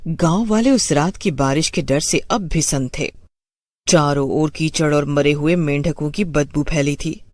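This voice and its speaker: Slow horror story narrator. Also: medium pitch, a little mellow, no echo.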